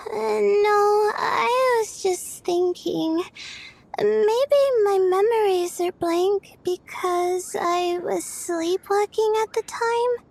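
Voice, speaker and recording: A woman voicing a shy, drowsy young female student in a video game, talking softly and hesitantly with many pauses. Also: very high voice, gravelly, full character voice. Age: young adult. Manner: hesitant, soft, many filler sounds. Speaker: sleepy student.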